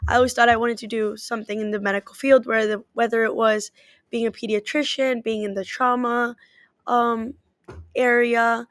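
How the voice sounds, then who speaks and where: A woman's voice: a little high, little pitch movement, normal speed, no room sound, clean studio sound. A woman whose first language is Spanish, an athletic trainer answering interview questions in an earnest, upbeat way.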